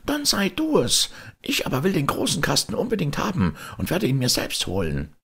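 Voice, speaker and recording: Nasty greedy old storybook wife, high screechy voice, bossy and impatient. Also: male voice, huge pitch swings, normal speed, vocal fry, fairly bright tone, slightly rough, heavily acted. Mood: furious, greedy. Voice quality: shrill, forced high. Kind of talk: storybook fairy tale.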